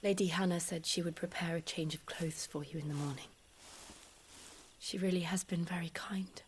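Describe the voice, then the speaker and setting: A woman's voice: fairly low pitch, steady tone, normal speed, a little hiss or hum, natural voice. An actress playing a kind, composed young noblewoman in a video game, polite and earnest. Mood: concerned. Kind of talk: dramatic video game cutscene acting.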